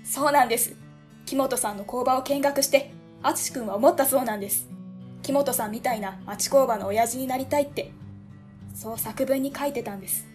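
A woman's voice: sits high, brisk, a little mellow, soft background music, lightly acted. A woman playing a polite, earnest young ward office clerk who explains things carefully.

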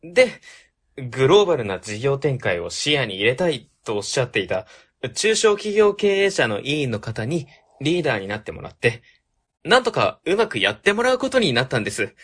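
A man's voice: mid-range voice, big pitch swings, fairly quick, fairly bright tone, husky. An actor playing a polite, eager young male public office worker in a Japanese radio drama, courteous and helpful.

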